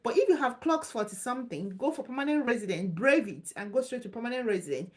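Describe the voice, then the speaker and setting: A woman's voice: fairly high pitch, expressive, fast. Chatty woman on a live stream. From Nigeria. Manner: chatty, loose and repetitive, reassuring. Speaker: live stream host answering visa questions. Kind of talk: casual live Q&A.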